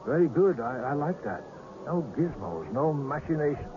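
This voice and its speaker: A man's voice: on the high side, sing-song, moderate pace. An actor in a radio drama playing a polite, mysterious older man with a genteel, precise, faintly hesitant manner. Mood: musing, knowing. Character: polite, mysterious old scout of seers. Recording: dull, noisy old radio broadcast.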